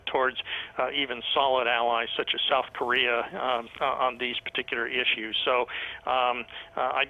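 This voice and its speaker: Over a dull, band-limited phone line, a male professor gives expert analysis. His mid-pitched voice is measured and academic, halting often between phrases as he works through each point. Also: creaky. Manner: measured, halting, very hesitant academic delivery with many pauses. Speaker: professor of international relations, expert guest. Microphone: telephone.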